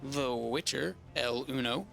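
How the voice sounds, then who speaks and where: Let's-play host: man, mid-pitched, slightly raspy, rambling casual delivery, music under him. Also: a little slow, fairly bright tone. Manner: rambling, full of ums and restarts, reads quest log aloud. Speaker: gaming streamer.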